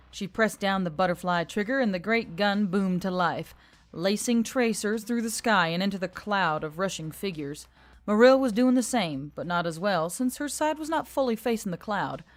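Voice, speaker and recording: A woman narrator reading a dramatic action story from a script. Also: mid-range voice. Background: faint background music.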